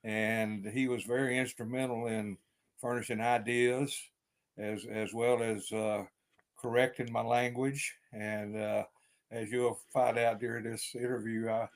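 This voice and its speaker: An older man with a deep East Texas accent, an author chatting as a guest in an interview, rambling with many fillers and restarts. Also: little pitch movement, measured pace. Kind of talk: casual interview chat.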